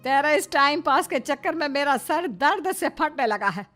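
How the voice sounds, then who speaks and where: Casting: woman as fussy, ailing older mother-in-law for cartoon story, high voice, forever scolding and complaining. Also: lively intonation, normal speed, fairly bright tone. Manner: whining, scolding. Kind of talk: cartoon moral story acting. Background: faint music.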